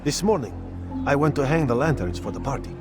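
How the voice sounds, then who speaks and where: A man's voice: medium pitch, animated, a little fast, lightly acted. An actor playing a nervous, cowardly townsman in a video game, anxious and pleading in manner. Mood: worried. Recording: loud music behind the voice.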